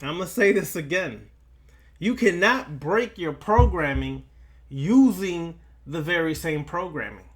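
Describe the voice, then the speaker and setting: A man with a somewhat high voice delivers an occult lecture at a very slow pace. He breaks his thoughts into short, halting phrases with long pauses between them. Key lines come back again for emphasis, as a preacher would do. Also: expressive. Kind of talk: esoteric lecture, preaching to listeners.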